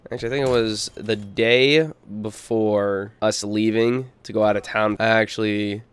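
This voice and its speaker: A young man with a low, stuffed-up voice, talking slowly and earnestly in a personal vlog. His tone stays steady and flat, smooth under the congestion. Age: young adult. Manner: quiet, earnest, hesitant, with pauses. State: congested from a cold. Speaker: gaming YouTuber. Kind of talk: somber personal vlog voice-over.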